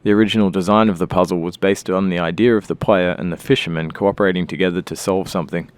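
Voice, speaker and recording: A man giving scripted commentary as a game developer. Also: sits low.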